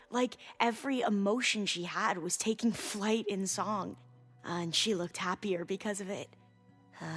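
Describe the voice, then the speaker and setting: Nervous, studious young woman scholar for a game cutscene; halting, earnest, formal wording, music underneath. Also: fairly low pitch, breathy edge, exaggerated voice. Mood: shy, hesitant. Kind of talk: video game cutscene dialogue.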